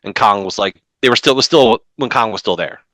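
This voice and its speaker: A man in his thirties co-hosting a comics podcast from home over a video call, talking in an animated way with many restarts. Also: on the low side, dry recording. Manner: animated, talks fast with frequent restarts. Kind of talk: casual chat.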